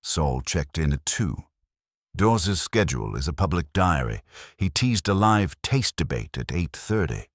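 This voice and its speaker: Very deep-voiced male detective-story narrator. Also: sing-song, a little slow, dry recording, studio quality. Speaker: audiobook narrator. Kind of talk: scripted first-person detective story narration.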